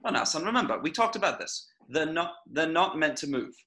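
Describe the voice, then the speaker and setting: A man's voice: on the high side, very animated, fairly quick, pushed and bright. A man playing a stern, blustering middle-aged father in an amateur table read, recorded at home with noise and clicks. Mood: indulgent.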